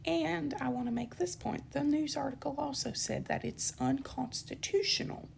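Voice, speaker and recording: A young woman with a dry throat gives an indignant, emphatic campaign statement, often pausing with ums and correcting herself. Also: high-pitched, gravelly, slight background noise. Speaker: channel host giving a campaign statement. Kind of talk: unscripted spoken statement to camera.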